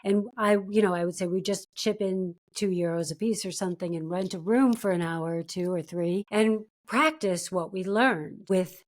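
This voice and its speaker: A woman's voice: on the low side, normal speed, hardly any pauses. A middle-aged woman, an actor, telling her story as a guest in a casual interview, laying out each step in turn. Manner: storytelling, breaks plans into steps.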